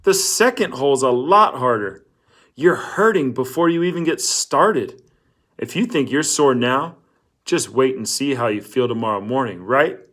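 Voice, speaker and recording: A man's voice: medium pitch, animated, unhurried, a bit bright. A man reading a storybook, voicing a cocky, pushy teenage boy who talks insistently and needles his listener. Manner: insistent, needling, tag questions.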